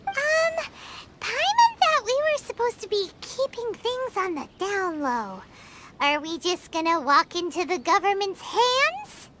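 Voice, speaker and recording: A tiny, excitable fairy girl with a squeaky, very high voice that leaps around, talking slowly. It is a video game dub with music playing underneath. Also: noisy background, full character voice. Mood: worried. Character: tiny floating female fairy companion, excitable.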